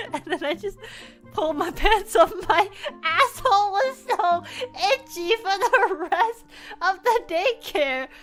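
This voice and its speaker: A young woman from Canada whose first language is Chinese, telling a crude childhood story in an animated, laughing way as a streamer. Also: very high-pitched, slow pace, soft background music. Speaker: virtual streamer. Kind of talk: casual storytelling chat.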